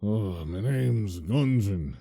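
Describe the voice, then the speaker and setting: A man voicing a blunt, exasperated dwarf who speaks slowly and bluntly. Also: fairly low pitch, expressive, creaky, dark tone, slightly rough, full character voice. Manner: blunt and exasperated, drawn-out delivery.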